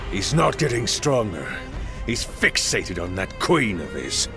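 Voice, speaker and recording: An actor playing a ruthless, ambitious pirate king in a video game, gravelly, menacing and scornful. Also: on the low side, very animated, a little slow, loud music behind the voice. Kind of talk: dramatic video game cutscene acting.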